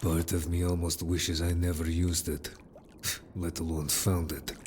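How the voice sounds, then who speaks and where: Man playing a deep-voiced, protective merman. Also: level tone, measured pace, darkish tone, slight background noise. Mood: worried, wistful. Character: gruff, protective male merfolk, loyal patrolling adventurer. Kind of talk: audio drama roleplay addressed to listener.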